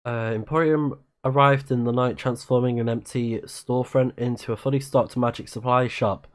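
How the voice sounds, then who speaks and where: A man's voice: medium pitch, steady tone, normal speed. A man giving casual let's play commentary, reading on-screen text aloud and murmuring reactions as he plays. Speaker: streamer playing a video game.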